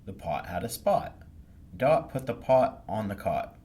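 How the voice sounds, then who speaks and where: A man voicing an anxious young schoolgirl who reads haltingly in a storybook reading. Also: lowish voice, big pitch swings, dark tone. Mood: hopeful. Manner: halting, stammering when reading.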